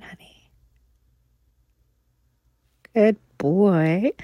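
Woman as a slow, breathy doting caretaker. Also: medium pitch, no room sound, studio quality, full character voice. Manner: soft, cooing, often whispered. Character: doting, protective motherly woman lover. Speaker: audio roleplay performer. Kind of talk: intimate whispered audio roleplay.

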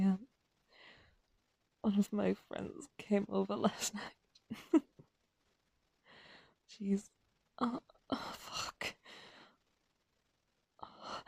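A woman's voice: medium pitch, gravelly, a little mellow, breathy edge, no echo. A woman in an intimate erotic audio roleplay, breathless and aroused, whispering between moans.

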